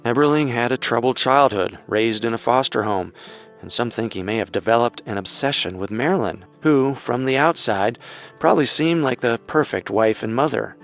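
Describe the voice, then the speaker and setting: A man's voice: medium pitch, moderate pace. A man reading scripted true-crime narration. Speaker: narrator, co-host. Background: music.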